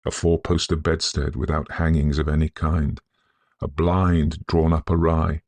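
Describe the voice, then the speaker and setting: A man narrating a literary ghost story in a measured, solemn, scholarly manner, with a gravelly, husky voice. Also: a bass voice, little pitch movement, a little mellow, no echo. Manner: measured, deliberate, solemn delivery.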